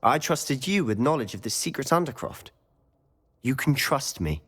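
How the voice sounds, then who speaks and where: A man's voice: mid-range voice, expressive, normal speed, a bit bright, breathy edge. A young man playing a confident, inquisitive teenage wizard schoolboy in a video game, eager and probing in conversation. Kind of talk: dramatic video game dialogue. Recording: long reverb with music behind. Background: music.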